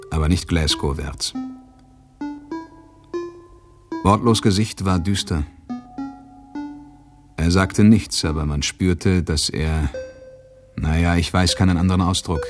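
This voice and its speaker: A man's voice: low-pitched, measured pace, pauses often, music in the background. A man acting a brooding, laconic widower in a radio drama, telling his story in an understated, terse, wry way. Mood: somber narrating. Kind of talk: radio drama acting and first-person narration.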